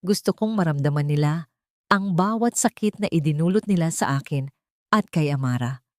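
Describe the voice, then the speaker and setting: A woman reads a wronged young woman in a storybook drama, cold and firm. Her voice is fairly low and sits in a dry, close space. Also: lively intonation, normal speed, dry recording.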